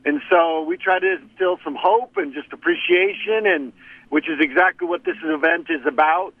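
Phone-in guest: a fifties man who is a sheriff, earnest and plain, slightly high voice, tinny line. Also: animated, normal speed, creaky, no room sound. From Arizona. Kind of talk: casual chat over the phone.

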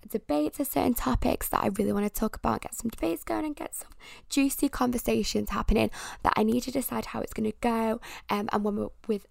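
A young woman with a croaky voice hosting her own podcast, chatting casually and rambling with lots of fillers. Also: a little high, no echo, studio quality. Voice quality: croaky, gravelly voice. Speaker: podcast host. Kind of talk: casual solo podcast chat.

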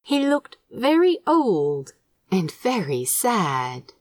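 A woman reading a story aloud as an audiobook narrator, measured and expressive in her scripted delivery. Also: mid-range voice, no echo. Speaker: fan fiction audiobook narrator.

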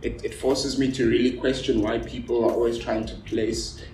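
A man from South Africa whose first language is Afrikaans, talking casually and telling stories quickly with lots of fillers. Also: mid-range voice, distant mic. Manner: animated storyteller, talks quickly with many fillers. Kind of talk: casual conversation. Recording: roomy with background noise.

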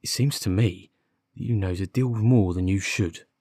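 Man putting on a defensive, slow-witted working-class constable. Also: sits low, darkish tone, slightly rough, dry recording, studio quality. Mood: suspicious. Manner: colloquial, ungrammatical rustic speech. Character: working-class male police constable, defensive, dim, aggrieved. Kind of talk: audiobook character dialogue.

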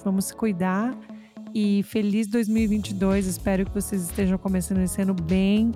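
Chatty Brazilian woman podcast host. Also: mid-range voice. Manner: warm, chatty, enthusiastic. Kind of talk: casual podcast interview and outro. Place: Boston area, United States.

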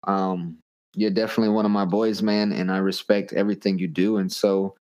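Podcast host, male, from the US. Low register, casual interviewing, slangy hip hop phrasing. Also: little pitch movement, brisk, darkish tone. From United States.

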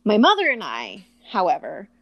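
A woman from Puerto Rico in casual chat, blunt and quick to swear and laugh. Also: mid-range voice, huge pitch swings, no echo, highs cut off.

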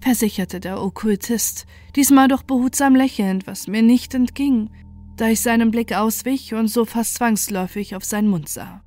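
A woman's voice: fairly high pitch, normal speed, a little mellow, soft background music. A woman reading a scripted first-person horror story as narrator, in a sober and controlled manner.

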